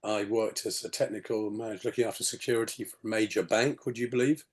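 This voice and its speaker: A man in his sixties with a gravelly, slightly hoarse voice, rambling through his story on a video call with many "you know"s. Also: on the low side, fairly even intonation, fast talker. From England. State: hoarse after a detox. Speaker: podcast guest. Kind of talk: casual storytelling interview.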